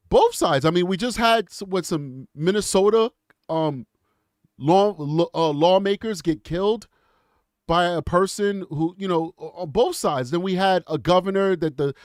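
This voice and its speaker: A man's voice: a little high, no echo, clean studio sound. A man with a cold and a congested voice, hosting a casual livestream in a chatty, rambling way and laughing often. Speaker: show host.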